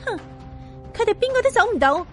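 Actress as haughty young Cantonese villainess: extremely high voice, taunting, vicious, audiobook drama with music behind. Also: female voice, very animated, brisk.

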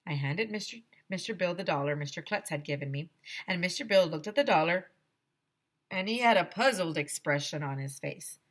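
A middle-aged woman reading a children's story aloud like a teacher, expressive and dramatic. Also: lowish voice. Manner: expressive, dramatic, pauses to explain words. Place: small decorated room indoors. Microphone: headset microphone.

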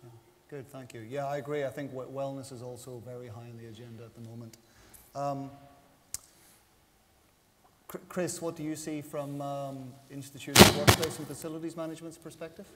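A man moderating a conference panel, brisk and stumbling on words. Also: medium pitch, steady tone, fairly bright tone. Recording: reverberant hall, faint noise.

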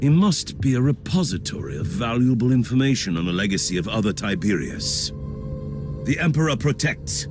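A man's voice: mid-range voice, very animated, moderate pace, music in the background, natural voice. A man voicing a solemn, imperious superior giving orders in a video game, formal and emphatic. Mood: solemn, insistent.

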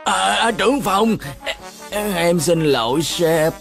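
A man voicing a goofy, sleepy, flirty office-worker father in a cartoon dub, with drawn-out syrupy mumbling. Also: high-pitched, animated, gravelly, heavily acted. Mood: flustered. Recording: loud music over the voice.

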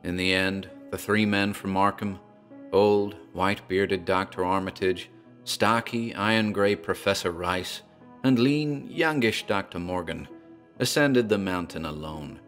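Deep-voiced man narrating horror. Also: unhurried, a bit bright, faint music behind.

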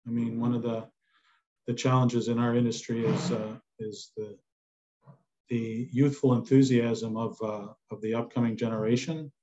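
A man's voice: mid-range voice, level tone, normal speed, dark tone. An older man giving his opinions as a chef on a panel, repeating words for emphasis. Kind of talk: panel discussion. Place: indoors on a video call. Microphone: video call. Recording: slightly dull video-call audio.

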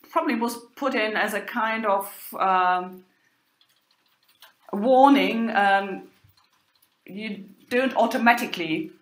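A woman university lecturer talking over slides, hesitant, with many fillers and pauses. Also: a little high, a bit bright. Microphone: recorded voice-over for slides. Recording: roomy echo.

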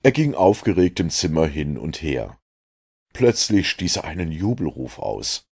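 A man reading a detective novel aloud as an audiobook, in steady storytelling narration. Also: rumbling low, unhurried, vocal fry, husky. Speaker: audiobook reader.

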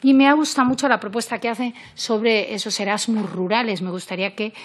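A woman in middle age speaks with a central Spanish accent and a medium-pitched voice. She delivers a formal parliamentary intervention into a desk mic. Her manner is earnest, pausing and restarting as she works through her notes. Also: steady tone. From Castilla-La Mancha, Spain. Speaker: member of parliament. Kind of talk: formal committee speech from notes. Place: parliamentary committee room. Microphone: gooseneck desk microphone.

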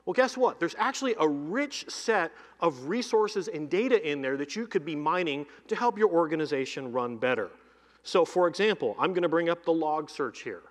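A middle-aged man giving a live keynote demo on a conference stage, speaking into a headset mic and running through lists with energy. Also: on the high side. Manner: energetic, lists items in quick runs. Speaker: keynote presenter demoing cloud software. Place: large conference hall stage. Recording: long hall reverb.

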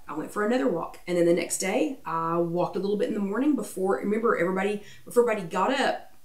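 A middle-aged woman hosting a wellness workshop in a chatty, animated way, her voice getting croaky. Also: medium pitch, far from the mic. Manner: chatty, animated, sings playful asides. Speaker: workshop host. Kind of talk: casual motivational talk. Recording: roomy echo, speaker a bit off-mic.

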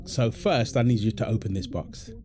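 A man's voice: on the low side, lively intonation, normal speed, heavy background noise, lightly acted. A British man playing an affectionate, playful husband in an intimate, naturalistic audio roleplay, talking casually and teasingly in short repeated phrases. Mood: gentle, apologetic. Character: affectionate, playful, apologetic husband, gamer with his mates.

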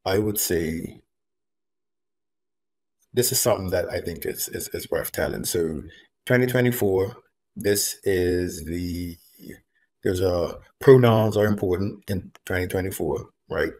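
A very low-voiced man from Bermuda speaks earnestly and deliberately, leaning on repeated phrases to drive a point home. He is a guest on a video call from a room at home. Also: a little mellow. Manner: earnest, measured, repeats key phrases for emphasis. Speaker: guest, homeless advocate. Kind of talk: reflective interview talk. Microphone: video call, laptop camera.

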